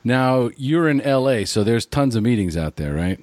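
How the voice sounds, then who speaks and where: A man's voice: mid-range voice, expressive, normal speed, darkish tone, no echo. A man hosting a casual interview, speaking in a conversational, affirming way. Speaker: podcast host.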